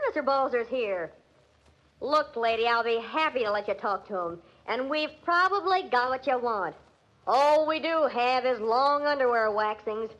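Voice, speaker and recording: A sassy young American hep chick with a very high voice, full of jive slang and quick to bristle. It is 1940s radio drama acting on a dull old recording. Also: female voice, a bit bright. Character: brash slangy young jazz-mad shop girl, quick to bristle.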